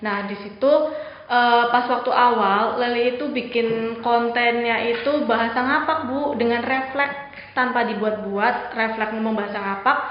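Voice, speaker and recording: A woman's voice: fairly high pitch, steady tone, normal speed. Young woman from Brebes with ngapak accent; chatty, deferential guest; echoey room, faint hiss. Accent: Banyumasan (ngapak) Javanese. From Brebes, Central Java. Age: young adult. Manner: chatty, calls the host "Bu" politely. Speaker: guest, TikTok creator. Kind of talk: casual interview chat. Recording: roomy, slightly dull podcast audio with faint hiss.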